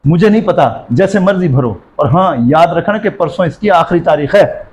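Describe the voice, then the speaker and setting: Guy acting a strict middle-aged dad, kinda high voice, dull roomy recording. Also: darkish tone, natural voice. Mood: stern, annoyed. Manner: curt, scolding. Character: stern, stingy elder brother and father. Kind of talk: amateur moral drama acting in Urdu. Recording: roomy echo, dull sound, faint noise.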